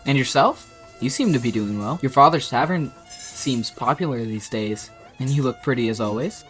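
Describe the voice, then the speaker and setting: A man's voice: mid-range voice, moderate pace, a bit bright, faint music behind, natural voice. A man voicing a friendly young privateer who rambles on. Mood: chatty.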